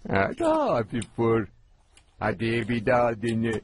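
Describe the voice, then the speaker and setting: A man's voice: medium pitch, huge pitch swings, a little slow, a little hiss or hum. A man voicing an earnest, tinkering stick-insect scientist in a cartoon, explaining things methodically. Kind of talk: animated cartoon dub. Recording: music behind dialogue.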